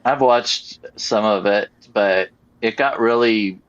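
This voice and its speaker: A man speaks very slowly in a relaxed, casual chat. The audio is dull and lo-fi. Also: on the low side. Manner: slow, laid-back. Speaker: podcast co-host. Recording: muffled lo-fi audio.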